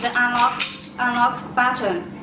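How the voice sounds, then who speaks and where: Female product presenter, slightly high pitch, simple non-native phrasing, recorded in a very echoey room. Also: fairly even intonation, a little slow. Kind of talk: product demonstration, step-by-step explanation. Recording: echoey room, distant mic, loud noise.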